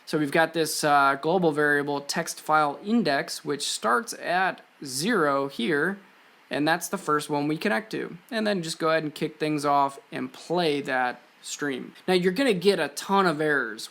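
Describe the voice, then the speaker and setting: A man's voice: fairly high pitch, normal speed, fairly bright tone. A man presenting an electronics and coding tutorial in an informal, offhand way, explaining things step by step as he walks through code. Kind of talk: casual screen-share code walkthrough.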